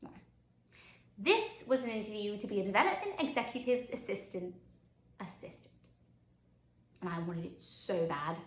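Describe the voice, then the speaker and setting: A woman's voice: medium pitch, expressive, normal speed, long pauses, pitched below the performer's own voice, natural voice. A woman performing a dramatic monologue as a driven, candid, haunted mother, speaking emphatically with theatrical swings in volume. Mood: wry, confiding. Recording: roomy echoing sound.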